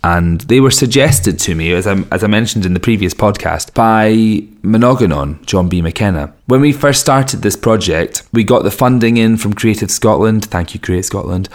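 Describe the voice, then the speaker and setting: Low-voiced, slightly raspy man hosting a podcast. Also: animated, moderate pace, few pauses. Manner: rambling, wry, self-mocking asides. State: strained throat, losing his voice. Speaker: podcast host and record label owner. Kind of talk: casual solo podcast introduction.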